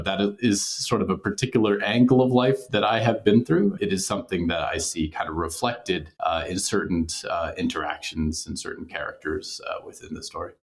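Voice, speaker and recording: A man speaking casually as an interview guest, explaining his creative work in a thoughtful, hedging way. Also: deep voice.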